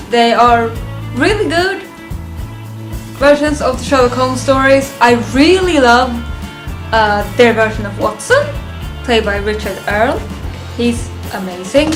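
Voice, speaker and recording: A young woman talking casually and chattily to her viewers as a video reviewer, giving commentary on a list. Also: a little high. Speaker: YouTube reviewer.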